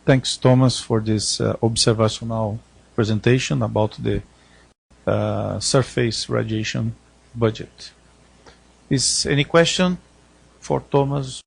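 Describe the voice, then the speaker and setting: A man's voice: medium pitch, unhurried, creaky, breathy edge. A man chairing an academic discussion, speaking off the cuff and often rephrasing himself. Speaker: session chair.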